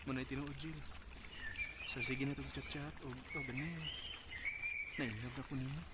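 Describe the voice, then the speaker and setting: A man's voice: mid-range voice, steady tone, normal speed, lightly acted. A man with a Bisaya accent playing an eager, lovestruck young rural student son in a radio drama. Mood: tender, smitten. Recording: very noisy radio drama recording.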